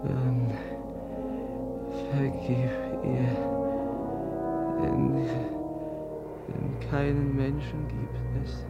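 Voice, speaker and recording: An actor playing a gentle, sickly Russian prince in a German literary radio drama, halting and solemn, with a husky, breathy, shaken delivery. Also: male voice, mid-range voice, level tone, muffled recording, over loud music. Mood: grieving prayer.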